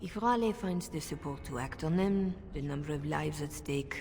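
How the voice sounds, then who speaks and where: Deep, grave, principled churchwoman. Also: a little mellow, long reverb tail. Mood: grave, measured. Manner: measured, formal wording. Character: grave, principled churchwoman who guards secrets. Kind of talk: video game voice acting.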